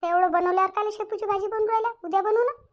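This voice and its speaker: Woman acting a very high-pitched indignant village daughter-in-law. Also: steady tone, racing, lo-fi sound. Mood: indignant complaining. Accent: rural Maharashtra, Varhadi Marathi. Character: indignant young village daughter-in-law, outspoken, complaining, caring. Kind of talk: domestic cartoon story dialogue.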